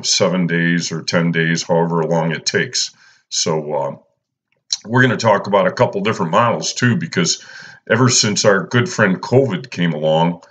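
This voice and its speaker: A man, talking casually and unhurriedly through a fishing how-to as a channel host, filling pauses with "uh" and "you know". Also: sits low, highs cut off. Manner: laid-back, lots of "uh" and "you know". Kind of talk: casual instructional voice-over.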